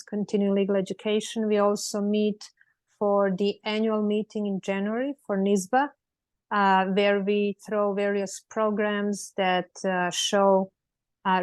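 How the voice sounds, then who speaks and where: A woman's voice: mid-range voice, darkish tone, no room sound. A woman in her forties from Serbia with a Serbian accent, speaking as an attorney on a panel over a video call, friendly and full of fillers and restarts.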